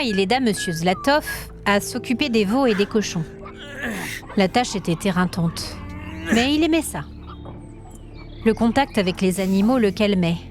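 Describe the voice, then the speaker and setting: A woman tells a scripted fantasy tale as a storyteller. Her voice has a medium pitch, and the narration is dramatic, with light music underneath.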